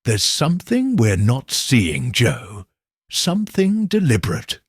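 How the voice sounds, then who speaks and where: A man reading slow, troubled amateur investigator. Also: mid-range voice, huge pitch swings, slightly rough, dry recording, studio quality, exaggerated voice. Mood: brooding. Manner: thoughtful, halting, serious. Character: troubled, suspicious man investigating a village death. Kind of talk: audiobook character dialogue.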